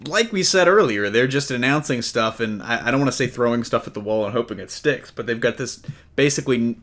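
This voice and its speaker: A man's voice: mid-range voice, expressive, a little fast, a bit bright. A man hosting a casual panel chat about comics, with a wry, joking way of talking. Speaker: podcast host.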